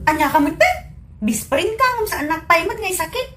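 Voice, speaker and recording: A woman's voice: very high-pitched, sing-song, moderate pace, fairly bright tone, a little hiss or hum, full character voice. A woman with a Filipino accent playing a jealous, scolding wife in a comedy radio drama, nagging and exclamatory. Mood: aggrieved. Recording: music behind the voice.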